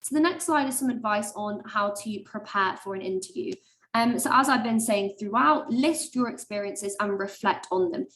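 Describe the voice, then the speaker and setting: Chatty young woman with a high voice. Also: normal speed, slight background noise. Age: young adult. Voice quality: high-pitched voice. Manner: chatty, lots of ums and likes, lively emphasis. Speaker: medical student giving admissions advice. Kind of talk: webinar talk.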